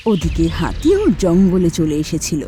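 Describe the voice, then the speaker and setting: A woman narrating a children's cartoon tale. Her voice sits a little low but leaps around with very lively pitch. Clear music plays behind her. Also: moderate pace. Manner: expressive, storytelling. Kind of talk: scripted storybook narration.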